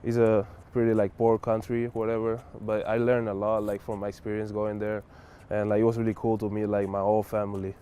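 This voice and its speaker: Relaxed young man, low mellow voice. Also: a little fast, few pauses, clear, resonant tone. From Spain. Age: young adult. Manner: relaxed, many fillers like "like" and "whatever". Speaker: college basketball player. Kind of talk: casual interview answers.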